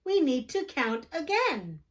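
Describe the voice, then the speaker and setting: A friendly, fair-minded cat from a children's picture book, read by a woman. The voice is high and animated, speaking slowly and earnestly. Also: heavily acted. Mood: concerned.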